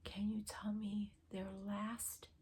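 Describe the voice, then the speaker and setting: A woman speaking in a hushed whisper to her viewers, gently and patiently pleading as she tries to reach a spirit from her home. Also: mid-range voice, flat delivery, takes it slowly. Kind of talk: spirit communication vlog.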